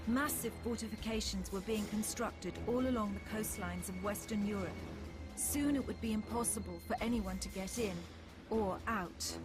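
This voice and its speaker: An actress playing a military officer, narrating a video game war story in a measured, grave way. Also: female voice, medium pitch, close to an everyday voice. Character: sober woman military officer recounting the war. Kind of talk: scripted narration. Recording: music behind.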